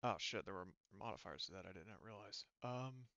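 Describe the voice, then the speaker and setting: Clear, bright-voiced man running a game. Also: on the low side, dry recording, lo-fi sound. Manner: halting, thinking aloud. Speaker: game master. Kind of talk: tabletop game narration, casual and improvised.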